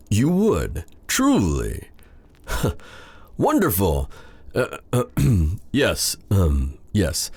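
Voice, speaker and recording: A man playing a feared dark sorcerer with a tender side, in a courtly, romantic fantasy ASMR roleplay style. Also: low-pitched, breathy edge, full character voice. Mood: earnest, wry, then flustered, tender. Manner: courtly, formal phrasing with endearments. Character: feared dark sorcerer, tender and flustered toward his captive. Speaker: voice actor in audio roleplay.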